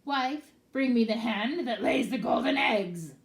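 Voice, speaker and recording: A woman voicing a huge, greedy male ogre who roars and shouts his commands, reading a storybook aloud. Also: a little high, animated, a little slow, echoey room, pitched above the performer's own voice. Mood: bellowing.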